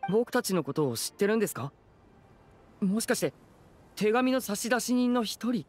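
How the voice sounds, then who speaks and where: An actor playing a courteous, smooth-talking teenage magician in an anime game. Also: male voice, high-pitched, expressive, normal speed, faint music behind. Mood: polite. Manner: courteous, persuasive.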